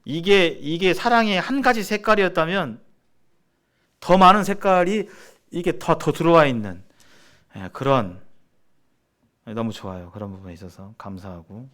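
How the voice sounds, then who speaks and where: A middle-aged man from South Korea teaching in a sermon-like seminar style, explaining haltingly and restating his phrases as he goes. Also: a little high, fairly bright tone. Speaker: church seminar preacher.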